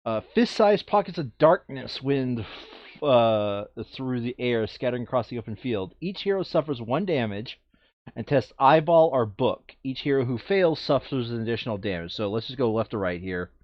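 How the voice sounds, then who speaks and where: A man with a mid-pitched voice talks casually over a board game, sometimes reading out the rules and story text. He is blunt and swears freely. The sound is dry and a little dull, with a lo-fi muffle. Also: animated, moderate pace, dry recording. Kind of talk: casual game table chat, some read-aloud narration.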